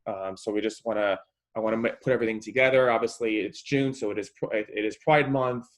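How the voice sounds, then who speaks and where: A man's voice: on the low side, steady tone, fast, rough recording. A man hosting a webinar panel over a video call, hesitant with a slight stutter and many fillers. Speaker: host and moderator. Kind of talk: casual webinar moderation.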